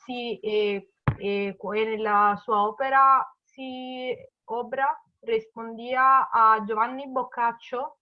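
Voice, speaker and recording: Italian woman in class, slow and halting, high-ish voice, muffled line. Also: steady tone. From Italy. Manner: halting, searching for words. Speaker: participant.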